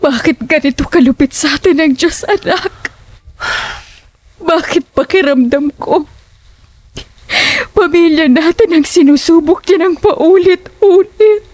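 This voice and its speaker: A woman's voice: extremely high pitch, measured pace, darkish tone. A woman playing a grieving, tearful mother who pleads in anguish in an audio horror drama.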